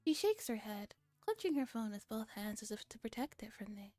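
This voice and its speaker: A woman's voice: fairly high pitch, big pitch swings, a little fast, warm tone, no echo, soft background music. A woman reading a visual novel aloud as its dramatic, self-important, easily exasperated young male narrator.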